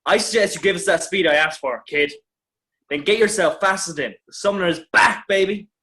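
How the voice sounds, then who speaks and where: A man playing a brash, cocky spaceship captain in a sci-fi audio drama, barking brisk orders. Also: high voice, pushed and bright. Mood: commanding, cocky.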